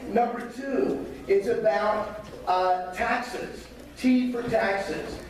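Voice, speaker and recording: A man, a county commissioner, giving a campaign speech at a meeting with a raspy, hoarse voice. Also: high-pitched, drawn-out words, a crowd in the background. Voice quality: raspy, losing his voice. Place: meeting hall. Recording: echoey hall from a distance, faint noise.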